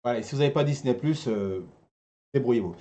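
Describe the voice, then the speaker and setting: A man's voice: medium pitch, fast talker, warm tone. A man hosting a casual talk show in a teasing, joking way. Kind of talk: casual banter.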